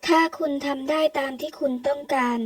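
A woman's voice: high voice, level tone, a little fast, lightly acted. A woman voicing a cheeky, food-loving young woman held captive in a comic-story dub, with chatty, playful delivery. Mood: bargaining. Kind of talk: comic-story dubbing read aloud.

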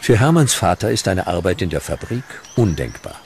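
A man narrating a scripted documentary with dramatic, deliberate storytelling. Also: sits low, big pitch swings, darkish tone, a little hiss or hum.

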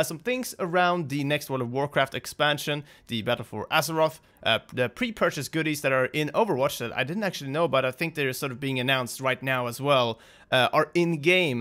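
A man's voice: on the high side, a bit bright. A young man hosting a gaming video, talking off the cuff in a casual, hesitant, rambling and apologetic way.

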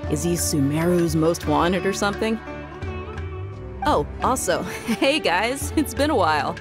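A woman's voice: fairly low pitch, expressive, measured pace. A woman voicing a tough, easygoing young desert mercenary in a video game, breezy, casual and teasing. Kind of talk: video game character acting. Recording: music behind the voice.

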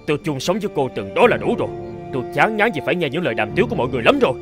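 An animated, somewhat high-pitched cartoon husband. He is a bitter, emphatic character whose voice swings widely, with music behind him. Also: very fast. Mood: cold, bitter. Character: bitter, cold husband who turns terrified and begging. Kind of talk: Vietnamese cartoon voice acting.

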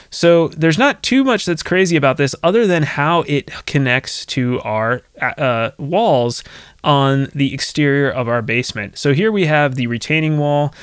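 A man's voice: mid-range voice, fairly bright tone, smooth, no room sound. A man in his thirties with a North American accent, explaining conversationally as a tutorial host, close to a desk microphone in a home studio. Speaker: soundproofing instructor and video host. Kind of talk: instructional screen-share tutorial. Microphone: desk microphone close to the mouth.

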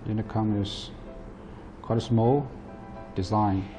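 A man in his thirties, a non-native English speaker, giving a plain, halting, step-by-step product demonstration. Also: fairly low pitch, over music. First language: not English. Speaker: product presenter. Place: indoor office at a table.

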